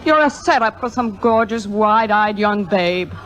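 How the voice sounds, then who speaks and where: An actress playing a worldly, possessive forty-year-old stage star in a 1950s Hollywood radio drama, with a wry, knowing theatrical delivery. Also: female voice, medium pitch, unhurried, a bit bright, lightly acted. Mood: wry, anxious. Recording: vintage radio recording, music behind.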